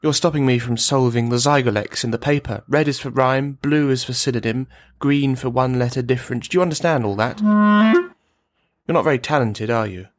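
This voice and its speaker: A man's voice: mid-range voice, normal speed, faint music behind, natural voice. A man performing a radio play monologue as a bitter, brittle, menacing army veteran, rambling and brooding as he addresses a silent listener. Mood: irritated, menacing.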